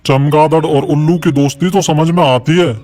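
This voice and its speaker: A man's voice: a little high, fairly even intonation, moderate pace, music in the background, natural voice. A man voicing a troubled male animal who guards the forest against thieves, in a cartoon story. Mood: worried. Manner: earnest, troubled. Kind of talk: cartoon voice acting.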